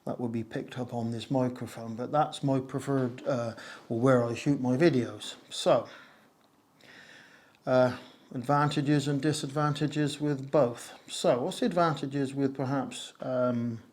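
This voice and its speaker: Slightly hoarse man, rambling casually. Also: medium pitch, fairly even intonation, a little slow, a little mellow. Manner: rambling, many "uh" and "so" fillers, self-mocking jokes. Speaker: video creator explaining audio gear. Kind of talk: casual talk to camera. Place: conservatory used as a home studio, a little echoey. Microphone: wireless lapel mic.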